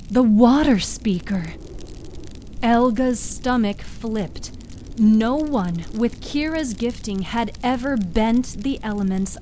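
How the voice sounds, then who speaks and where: Slow, mellow woman narrating dramatic fantasy. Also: mid-range voice, a little hiss or hum, poor audio. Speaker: narrator and producer of a fiction podcast.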